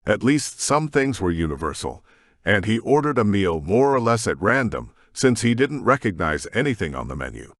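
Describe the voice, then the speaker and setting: Man reading a sci-fi audiobook, lowish voice with a lot of melody, dry close sound. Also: normal speed, dry recording. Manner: steady storytelling narration. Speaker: audiobook narrator. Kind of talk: scripted narration.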